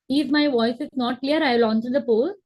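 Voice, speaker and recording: A young woman with a fairly high voice teaches a biology lesson from a room at home. She speaks into a laptop webcam on a video call. She goes through each step slowly, repeats the key words, and keeps checking that her students understand. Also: a little mellow, poor audio. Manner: explains step by step, repeats key terms, asks students to confirm. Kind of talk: online class lecture.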